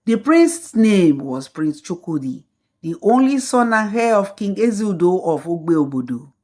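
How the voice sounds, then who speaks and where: A woman telling a folktale as scripted storybook narration, in a slow, measured way. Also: mid-range voice, very animated, darkish tone.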